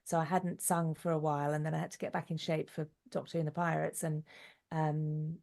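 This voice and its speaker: A woman in middle age speaks as an interview guest. Her voice sits low, with some gravelly fry at the edges. She answers conversationally, in an articulate and thoughtful way. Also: flat delivery, a little fast, darkish tone. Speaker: interview guest, actress, writer and singer.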